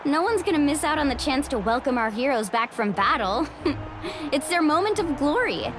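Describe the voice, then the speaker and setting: A woman's voice: high-pitched, sing-song, moderate pace, music in the background. A woman voicing a spirited young woman in a game, friendly and guiding, fierce when her friends are insulted. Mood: cheerful. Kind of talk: game voice acting.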